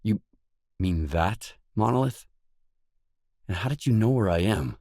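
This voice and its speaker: An actor playing a wary, world-weary stalker, the blunt protagonist of a video game, in terse acted dialogue. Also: male voice, lowish voice, expressive, measured pace, mellow, dry recording, clean studio sound. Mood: probing, wary.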